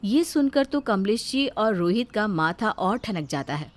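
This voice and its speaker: A woman's voice: mid-range voice, sing-song, unhurried, soft background music. A woman reading a scripted family story as its narrator, in a storytelling style.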